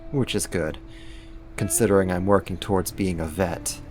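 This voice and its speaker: A man acting in an ASMR roleplay as a caring, wry young supervillain roommate, speaking softly and conversationally with hesitant pauses and gentle teasing. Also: deep voice, fairly even intonation, faint music behind, lightly acted. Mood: casual, wry.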